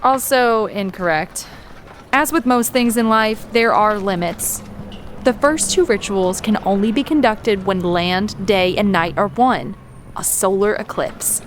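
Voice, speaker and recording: An actress in an audio drama playing a bookish, exacting tour guide who lectures precisely. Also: female voice, mid-range voice, unhurried, slight background noise, lightly acted. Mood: curt. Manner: precise, lecturing, curt corrections.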